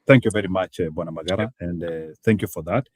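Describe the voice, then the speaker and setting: Kenyan male host, very deep voice, dry close sound, hesitant with fillers, on a video call. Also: quick pace, husky, no echo. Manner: hesitant, many fillers and restarts. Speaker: online show host. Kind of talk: casual talk show interviewing.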